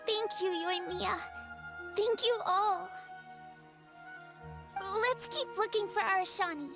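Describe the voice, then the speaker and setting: Sweet little game girl, really high voice, slow and earnest, wistful, music behind. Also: heavily acted. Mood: happy, grateful. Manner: earnest, hesitant, wondering. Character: gentle, wistful young girl finding hope again. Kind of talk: video game character acting.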